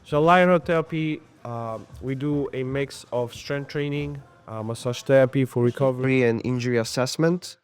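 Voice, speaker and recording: A man's voice: mid-range voice, normal speed, few pauses, clean tone, faint music behind. A man from Poland, a sports therapist and MMA coach, explaining training and recovery in a loose, chatty way. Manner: explanatory, many fillers and restarts. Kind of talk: casual interview.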